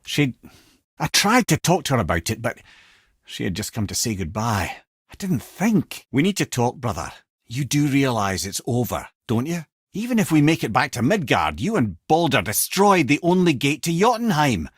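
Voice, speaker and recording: A man's voice: mid-range voice, moderate pace, slightly rough, no room sound, studio quality. A man voicing a witty, worldly old sage for a video game, telling tales with a Scottish accent in an eloquent, avuncular way. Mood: grave storytelling, then earnest. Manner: wry, eloquent, avuncular, expressive. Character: witty old severed talking head, worldly storyteller sage. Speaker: companion and storyteller. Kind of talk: video game voice acting, storytelling.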